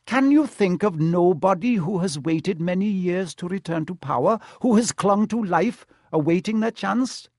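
A man's voice: high voice, animated, measured pace, dry recording, clean studio sound, voice pushed up, heavily acted. A man voicing a grave, mystical male centaur with a solemn, portentous manner in an audiobook. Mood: grave, insistent. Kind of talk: audiobook character voice.

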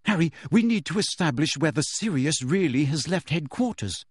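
A man's voice: on the high side, animated, normal speed, husky, dry recording, clean studio sound. A man voicing an earnest, bossy teenage witch girl in an audiobook, with an urgent, anxious manner. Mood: urgent, pleading. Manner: urgent, pleading, fierce. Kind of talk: audiobook character voice.

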